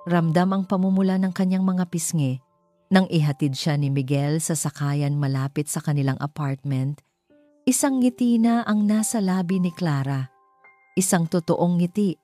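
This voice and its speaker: A woman's voice: on the low side, animated, normal speed, mellow, no echo, faint music behind. A woman with a Filipino accent reading a scripted romance story as its narrator.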